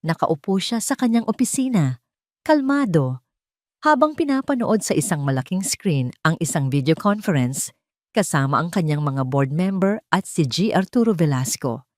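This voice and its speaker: A woman narrating a scripted dramatic love story in a measured, dramatic way. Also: lowish voice, expressive, no room sound, clean studio sound.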